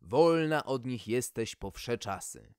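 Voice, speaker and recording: A man's voice: mid-range voice, lively intonation, slow pace, darkish tone, smooth, no room sound, clean studio sound. A man voicing a proud, pompous, dim-witted knight in a courtly manner for a storybook.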